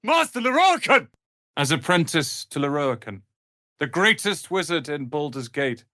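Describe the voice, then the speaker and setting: A man voicing a proud, sharp-tongued young wizard's apprentice and protective brother in a fantasy video game, articulate and theatrical. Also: on the high side, very animated, normal speed, no echo, clean studio sound. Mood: angry, indignant.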